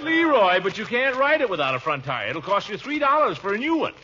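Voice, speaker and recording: An actor playing a blustery, fussy middle-aged uncle, pompous and excitable, in 1940s radio comedy style. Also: male voice, high voice, fairly quick, slight background noise, exaggerated voice. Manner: pompous, excitable, with exclamations and chuckles. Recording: muffled vintage radio recording with music.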